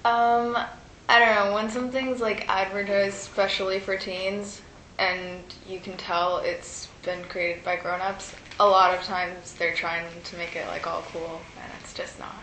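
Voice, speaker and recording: Flat-pitched teenage girl in echoey room. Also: unhurried. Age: teens. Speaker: teen discussion panelist. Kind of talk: casual roundtable chat. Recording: echoey room with faint noise.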